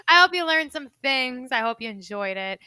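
A young woman with a Cuban accent co-hosting a podcast in animated, slangy chat. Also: high-pitched, moderate pace, clean tone, no echo. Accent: Cuban Spanish-influenced English. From Cuban heritage. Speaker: podcast co-host. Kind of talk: casual chat.

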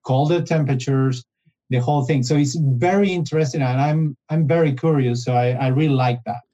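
Man with a mellow, halting voice. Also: medium pitch, dull audio. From Argentina. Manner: halting, restarts phrases often. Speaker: guest, business development director. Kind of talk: casual interview conversation.

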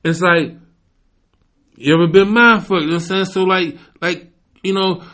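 A man's voice: sits high, steady tone, normal speed, bright, forceful tone. A man in his forties hosting a podcast, arguing emphatically in casual, profane talk and often asking "you know what I'm saying". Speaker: podcast host. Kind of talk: heated casual debate.

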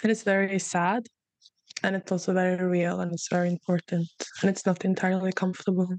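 A young woman speaking softly and hesitantly, sharing heartfelt reflections in a conversation. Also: fairly low pitch, level tone, a little fast, no echo. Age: young adult.

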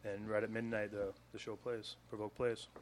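A man, a guest filmmaker, briskly running through event details in casual chat. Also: fairly low pitch, little pitch movement. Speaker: guest, filmmaker promoting a premiere.

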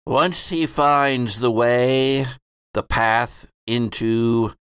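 A man voicing a cryptic seer who speaks slowly in portentous, sing-song rhymes. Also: medium pitch, steady tone, a bit bright, clean tone, no echo, clean studio sound. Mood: ominous, prophetic. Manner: slow, portentous, sing-song rhyming delivery.

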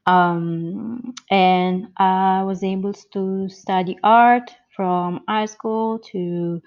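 Slow, hesitant Italian-accented woman. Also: medium pitch, fairly even intonation, lo-fi sound. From born in the US, grew up in Italy. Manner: hesitant, many ums and restarts. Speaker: guest. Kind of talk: interview answers.

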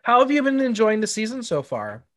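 A congested man hosting a podcast, chatty and animated, swearing casually. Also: extremely high pitch, a little fast. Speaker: podcast host.

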